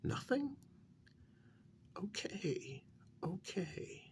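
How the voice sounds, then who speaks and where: A man playing a patient but exasperated vampire official in a soft-spoken ASMR role-play, halting and coaxing, with a breathy voice that often nears a whisper. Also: high-pitched, big pitch swings, slight background noise, full character voice. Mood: coaxing, concerned. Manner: halting, coaxing, many pauses.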